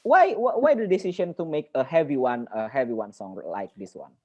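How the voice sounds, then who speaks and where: A woman interviewer whose first language is Indonesian, asking questions in a halting way with repeated words, over a video call. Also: very deep voice, animated, muffled recording. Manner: halting, repeats words, non-native phrasing.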